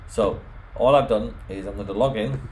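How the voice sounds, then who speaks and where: A man's voice: lowish voice, moderate pace, a little mellow, a little hiss or hum, poor audio. A man presenting an informal home automation tutorial, explaining things step by step. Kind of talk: informal software screen walkthrough.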